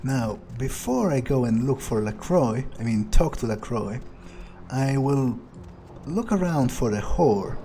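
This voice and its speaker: Guy playing a grumpy sweary vampire, thinking out loud real slow and halting, mid pitch, game roleplay. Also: expressive, a little hiss or hum. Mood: brooding, musing. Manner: halting, musing, muttering to himself. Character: surly, foul-mouthed, self-serving male vampire, broodingly thoughtful. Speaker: player roleplaying his game character. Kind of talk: in-character gameplay monologue.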